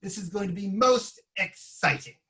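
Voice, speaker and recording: A man's voice: high-pitched, animated, moderate pace, vocal fry, sharp tone. A man hosting an improv comedy show, casually introducing ideas and handing out parts to players. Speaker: host of improv sketch show.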